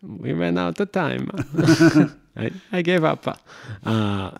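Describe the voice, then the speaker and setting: A man's voice: fairly high pitch, animated, slow, vocal fry, dry recording, studio quality. A man co-hosting a music podcast in casual chat, rambling and opinionated with crude language.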